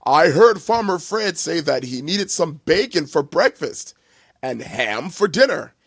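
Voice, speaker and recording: A man voicing a big, boastful young bull in a storybook, loud, deep and taunting. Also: sing-song, a little slow, slightly rough, no echo, studio quality, full character voice.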